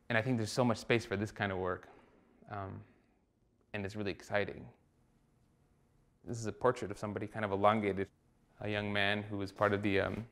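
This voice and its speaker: A man in his thirties from Costa Rica, an artist talking reflectively in a documentary interview, with many fillers and restarts. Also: fairly low pitch.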